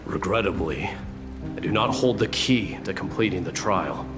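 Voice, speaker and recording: Game role: brooding noble warrior, acted by a man, low-pitched, solemn and restrained, over clearly audible music. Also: moderate pace, close to an everyday voice. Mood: solemn, resolute. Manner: formal, grave, restrained. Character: proud, stern, brooding male warrior prince. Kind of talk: video game voice acting.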